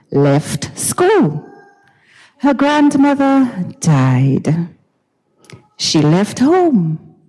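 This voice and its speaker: A woman giving a dramatized literary reading on stage in a recital hall, deliberate and theatrical, with pauses and repetition. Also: medium pitch, dark tone. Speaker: dramatic reader. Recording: recital hall with long reverb.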